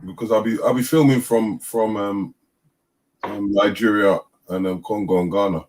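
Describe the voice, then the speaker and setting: A man speaking quietly and hesitantly as a guest on a call, with many pauses and ums. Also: on the low side, fairly even intonation, poor audio.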